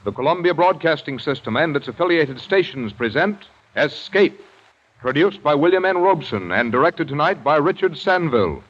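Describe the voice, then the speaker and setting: A man's voice: a little high, very animated, moderate pace. A man announcing a network programme in formal, declamatory 1940s radio style. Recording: dull vintage radio broadcast.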